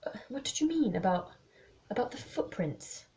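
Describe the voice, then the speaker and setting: A woman voicing an anxious, impatient young English woman in a mystery story, breathy and urgent. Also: high voice, sing-song, takes it slowly, mellow, voice pushed up, exaggerated voice. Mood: frightened.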